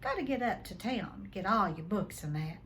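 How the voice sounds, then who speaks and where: A woman voicing a gruff, big-hearted giant gamekeeper with rough, colloquial speech in a storybook read-aloud. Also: mid-range voice, expressive, moderate pace, a bit bright, a little hiss or hum, close to an everyday voice. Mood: brisk kindly.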